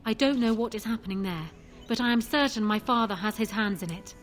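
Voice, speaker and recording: A woman's voice: a little high, fairly quick, natural voice. A woman playing a proud, shrewd young widowed queen in a fantasy video game, speaking in a formal, commanding manner. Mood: measured, persuasive.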